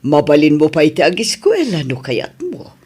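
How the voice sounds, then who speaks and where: A woman's voice: sits low, wildly swooping pitch, normal speed, a little mellow, breathy edge, heavily acted. A woman playing a caring, encouraging middle-aged mother in an Ilocano radio drama, tender and coaxing, with an Ilocano accent.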